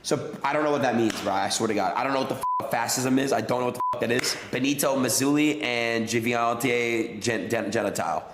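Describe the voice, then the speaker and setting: A man on a stream, haltingly stumbling through a definition and reacting in exasperated slang. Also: medium pitch. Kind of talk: stumbling read-aloud on a stream. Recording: echoey room clip with faint noise.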